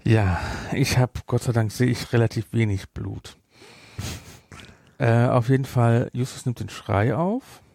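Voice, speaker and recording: A man's voice: mid-range voice, measured pace, vocal fry, a little mellow, dry recording, clean studio sound. Sarcastic man chatting on a podcast. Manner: sarcastic, incredulous asides. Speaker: podcast host. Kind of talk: casual film discussion chat.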